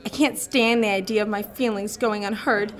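A woman's voice: mid-range voice, steady tone, moderate pace, natural voice. A woman voicing a gushing, dreamy teenage girl in a radio drama, full of "like" and "you know.".

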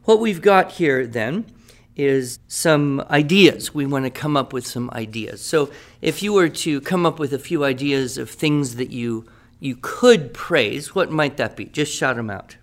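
A man's voice: mid-range voice, animated, a little slow. A man playing a writing teacher who leads a class in an upbeat, encouraging and wordy way. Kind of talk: scripted classroom radio drama.

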